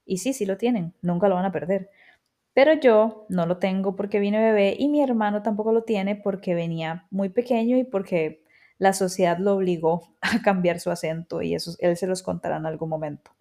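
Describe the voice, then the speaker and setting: A woman's voice: mid-range voice, normal speed, mellow. A woman in her thirties with a Costa Rican accent, hosting a podcast in a reflective, chatty personal monologue. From Costa Rica. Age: 30s. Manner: reflective and chatty, with frequent quoted asides. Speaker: podcast host.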